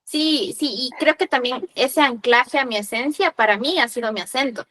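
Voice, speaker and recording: A woman's voice: high-pitched, normal speed, pushed and bright. A woman from Ecuador with a Quito accent, speaking in earnest, reflective casual chat.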